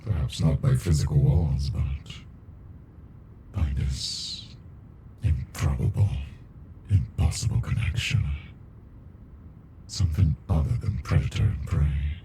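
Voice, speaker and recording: A man voicing an ancient captive alien monster in an ASMR roleplay, deep and gravelly, brooding, intimate and possessively smitten with a human. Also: background noise, exaggerated voice. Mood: brooding, intrigued. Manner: slow, brooding, deliberate, intimate.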